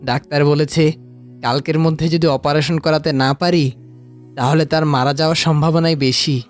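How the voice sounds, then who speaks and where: A man portrays an anxious, courteous cartoon father. His earnest voice sits fairly high for a male, with faint music in the background. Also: steady tone, moderate pace, natural voice. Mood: worried, polite. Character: polite, worried father travelling at night. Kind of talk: animated cartoon voice acting.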